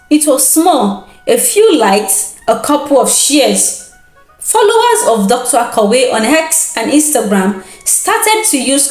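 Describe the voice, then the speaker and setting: A woman narrating a scripted folktale as a storyteller, in an expressive, deliberate and dramatic manner. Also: a little high, reverberant. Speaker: storyteller, host.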